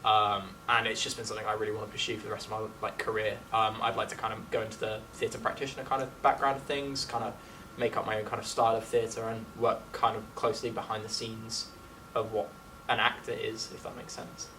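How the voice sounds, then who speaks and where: A man's voice: on the low side, little pitch movement, fast, bright, forceful tone. A man, a student actor chatting effusively and informally, often saying "like". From England. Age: late teens. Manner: effusive, peppered with "like". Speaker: student actor being interviewed. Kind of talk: casual interview chat. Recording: distant mic with faint background noise.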